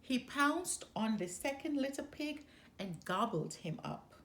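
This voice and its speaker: A woman narrates a children's story aloud. She takes her time, moving expressively across a wide pitch range, with noticeable creak in the voice. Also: very animated, unhurried, a bit bright.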